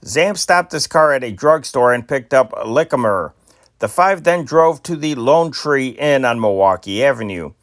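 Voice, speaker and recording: A man's voice: mid-range voice, lively intonation, moderate pace, a bit bright, no room sound, studio quality. A man reading old newspaper stories aloud in a plain amateur style, stumbling now and then over names. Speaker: newspaper reader.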